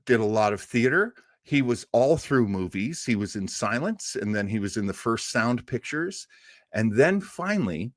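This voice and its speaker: A man's voice: medium pitch, a bit bright, no room sound, clean studio sound. A man hosting an informal, unscripted show on film history, rambling along as an enthusiastic storyteller. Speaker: host of an old radio and film show.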